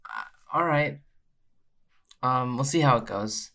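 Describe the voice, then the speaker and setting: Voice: mid-range voice, lively intonation, slow, creaky, a bit bright. A tabletop game player speaking hesitantly and friendly, with many fillers.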